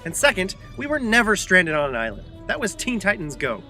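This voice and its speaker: A man's voice: high-pitched, huge pitch swings, a little fast, pushed and bright, soft background music, exaggerated voice. A man playing a theatrical, bossy adventurer in an audio comedy, emphatic and arch in his delivery. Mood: indignant. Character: theatrical, bossy, indignant adventurer, fond of festive fun.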